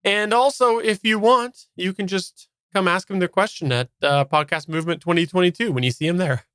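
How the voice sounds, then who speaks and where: Bright-voiced man hosting a podcast. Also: high-pitched, fairly quick, clear, resonant tone, dry recording, studio quality. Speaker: podcast host asking questions. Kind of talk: casual live chat hosting.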